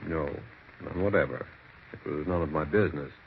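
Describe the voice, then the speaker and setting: An actor playing a discreet family attorney in a 1940s radio mystery, deliberate and matter-of-fact. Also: male voice, a bass voice. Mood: discreet, firm. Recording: tinny, faintly noisy 1940s radio recording.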